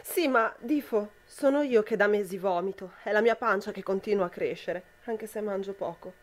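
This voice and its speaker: A young woman acts a troubled, reproachful partner in an amateur radio play. Her voice sits at a medium pitch, with an earnest delivery. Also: normal speed, natural voice. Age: young adult. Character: anxious, unwell woman confronting her partner. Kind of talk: amateur student radio drama acting.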